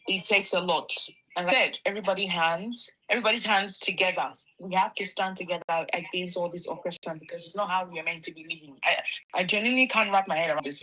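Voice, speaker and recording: A woman's voice: on the low side, pushed and bright, low-quality recording. A Nigerian woman hosting a live audio discussion, speaking in an earnest, pleading way. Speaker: host.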